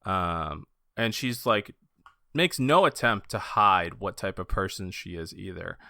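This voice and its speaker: A man's voice: low-pitched, expressive, unhurried, gravelly, bright, forceful tone, no room sound, studio quality. A man hosting a casual book discussion, speaking as an enthusiastic fan. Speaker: podcast host.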